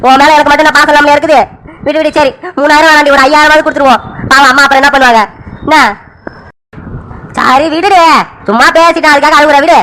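Woman doing a brusque rapid husband. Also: sits high, steady tone, sharp tone, slight background noise, low-quality recording. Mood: placating. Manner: brusque, rapid, calls wife "di". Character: irritable husband, gruff then soothing.

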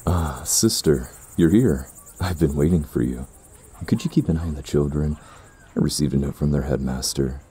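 An extremely deep male guardian, formal and measured in his words. His voice is very rough and gravelly, as in an audio roleplay drama. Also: dark tone, exaggerated voice. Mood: polite, businesslike.

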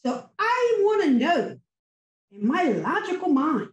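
A woman's voice: extremely high pitch, sing-song, slow, muffled recording. A middle-aged woman giving a fervent, preacherly response to a sermon, full of emphatic rhetorical questions, on a video call. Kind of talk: impassioned post-sermon testimony. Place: home room with virtual background. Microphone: laptop webcam on video call. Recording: echoey video call audio.

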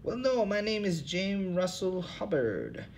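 A man's voice: very animated, measured pace, a little hiss or hum, full character voice. A man voicing a weary, self-pitying male drifter with genteel airs. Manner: listless, self-pitying, raised pitch.

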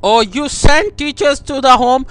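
A man making a comedic prank phone call, talking in a halting, coy, over-affectionate way. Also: very high voice, fairly bright tone. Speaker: prank caller.

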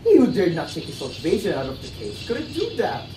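A man's voice: high voice, wildly swooping pitch, normal speed, mellow, exaggerated voice. A young man playing a smug, scornful blackmailer in a stage play, mocking and dramatic. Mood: taunting, scornful. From Philippines. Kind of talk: theatrical stage acting of a classic play. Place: indoor living-room stage set. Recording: roomy, distant microphone, music behind.

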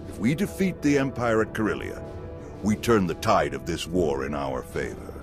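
Very low male voice, animated pitch, grave alien general, game briefing, music behind. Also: moderate pace. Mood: commanding. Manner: authoritative, grave. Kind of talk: scripted military briefing in a game.

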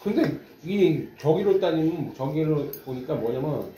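Guy explaining stuff at dinner, repeats words searching for them, echoey restaurant, loud dish clatter. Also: a little high, lively intonation, unhurried, noisy background. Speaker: friend at the table. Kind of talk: casual chat over a meal.

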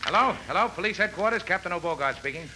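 A man's voice: high-pitched, lively intonation, fast. A man playing a bossy, impatient police detective captain in a 1940s radio comedy. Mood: businesslike. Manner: forceful, commanding. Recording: noisy old 1940s radio recording.

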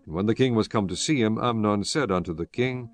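A man with a lowish voice narrates scripture. His delivery is formal and solemn, like a storyteller, with music underneath. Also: a little fast. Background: background music.

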